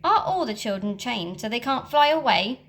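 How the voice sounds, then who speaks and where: A woman's voice: fairly high pitch, sing-song, normal speed, a bit bright. A woman voicing a villainous male pirate captain who barks blustering orders in a storybook reading.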